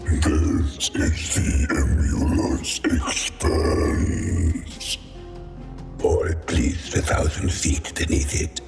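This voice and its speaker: A man playing a courtly deep-sea demon lord in a game cutscene, gravelly, wry and theatrical, with grandiose, polite phrasing. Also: steady tone, drawn-out words, a little mellow, full character voice. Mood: intrigued. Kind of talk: scripted game cutscene dialogue. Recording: echoey, music behind.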